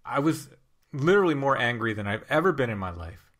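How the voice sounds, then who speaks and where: Host guy chatting, says stuff twice for emphasis, normal pitch. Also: sing-song, a little fast, fairly bright tone. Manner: conversational, emphatic, repeats himself. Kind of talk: casual interview chat.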